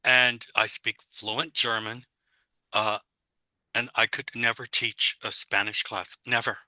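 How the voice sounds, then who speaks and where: A man hosting an online teacher-development panel, talking casually over a video call with many pauses and fillers. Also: medium pitch, level tone, sharp tone, no room sound, poor audio.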